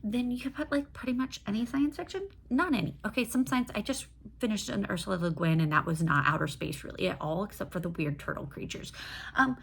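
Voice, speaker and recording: A woman's voice: mid-range voice, fairly quick, vocal fry, fairly bright tone, a little hiss or hum. A woman chatting casually about books in an enthusiastic, digressive way, like a host reviewing her reading. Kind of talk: casual book review chat.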